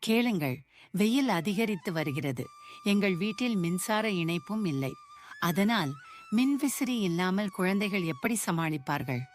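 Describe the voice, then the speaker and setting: A woman's voice: medium pitch, sing-song, normal speed, natural voice. A woman voicing an anxious poor village mother who frets and laments. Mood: worried. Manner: fretful, lamenting. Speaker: voice actor. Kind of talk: dubbed cartoon acting. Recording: music bed behind.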